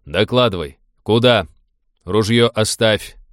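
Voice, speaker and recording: A man voicing a gruff, irritable captain who speaks in curt commands in an audiobook. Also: mid-range voice, animated, normal speed, no echo, clean studio sound, close to an everyday voice. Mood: exasperated, barking.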